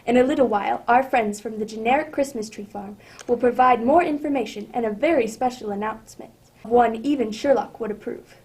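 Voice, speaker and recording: Lady playing chummy old-time radio host, highish voice, friendly polished patter. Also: fairly quick, background noise, natural voice. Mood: salesmanlike cheer. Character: Mister Bartell, affable radio announcer and eager guest.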